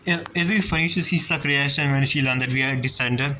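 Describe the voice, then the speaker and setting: A man giving casual, halting commentary on a video game, musing aloud as he plays. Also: medium pitch, sharp tone, slight background noise, low-quality recording. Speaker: gameplay streamer.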